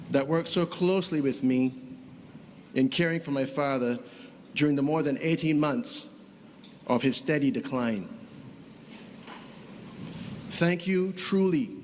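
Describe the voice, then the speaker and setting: A middle-aged man giving a formal funeral speech, deliberate and pausing often. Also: fairly high pitch, a little hiss or hum. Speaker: son giving funeral tribute. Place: funeral service.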